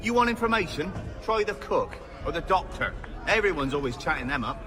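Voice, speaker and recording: A man's voice: on the high side, very animated, fairly quick. A man playing a brusque, dismissive sailor in a video game, speaking curtly and colloquially. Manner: colloquial, curt.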